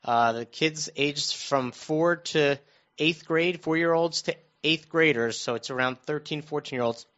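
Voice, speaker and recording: A middle-aged American man telling stories casually on a talk show, halting and restarting his phrases as he goes. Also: a little high, fairly bright tone. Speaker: radio show panelist recounting his own news. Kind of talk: casual conversational storytelling. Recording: dull, lo-fi muffled sound.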